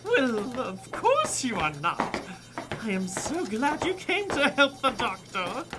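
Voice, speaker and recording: A woman's voice: fairly high pitch, sing-song, slow pace, loud music behind the voice. A woman playing a giddy, scheming male mad scientist in a comic radio play, with theatrical, stilted formal speech and a cloying, fiendish manner. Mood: fussy, eager.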